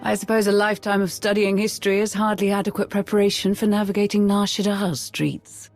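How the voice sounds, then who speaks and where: An actor voices a composed game character delivering briefings. The manner is measured, formal and drily businesslike, with music beneath the voice. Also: medium pitch, little pitch movement, no echo, natural voice. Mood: dry, composed. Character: composed, dry, businesslike intelligence colleague giving briefings. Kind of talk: video game cutscene acting.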